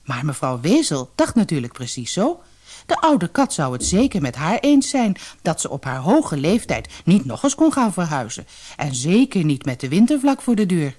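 Casting: female fairy tale narrator, lowish voice, extremely expressive intonation, faint music. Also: moderate pace. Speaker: storyteller.

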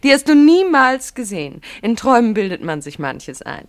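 Brisk mother, high-pitched. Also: big pitch swings. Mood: brisk, soothing. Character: brisk, reassuring mother talking to her child. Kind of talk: German radio play acting.

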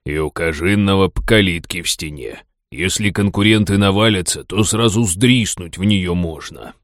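A gruff, folksy fellow from a story, played by a male narrator, talking in rustic slang. His voice is very low. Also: moderate pace, no echo, studio quality, close to an everyday voice. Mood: dry, knowing.

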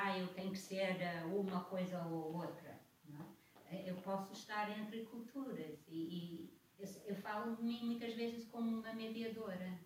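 A woman from England speaks Portuguese in a thoughtful, hesitant way and keeps checking in with the listener. Her voice sits at a middling pitch, and she is heard from a distance in a very reverberant space with faint background noise. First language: English. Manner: thoughtful, hesitant, often asking "não é?". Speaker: academic host. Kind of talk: casual conversation. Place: theatre garage space. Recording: roomy echo, distant mic, faint noise.

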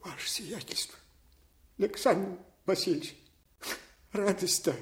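A man's voice: high voice, measured pace. An actor playing a plain-spoken, devoted male orderly who chides his old master in a folksy, familiar way, in a radio drama. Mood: respectful. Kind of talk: Soviet radio drama acting.

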